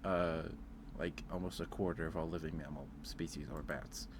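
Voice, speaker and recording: A man's voice: rumbling low, steady tone, moderate pace, noisy background. A man chatting casually on an ASMR livestream, rambling hesitantly and repeating his phrases, close to the microphone.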